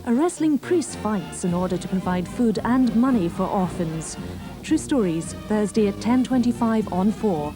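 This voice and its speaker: A woman's voice: mid-range voice, animated, moderate pace, over music, low-quality recording. A woman giving scripted narration for a documentary trailer.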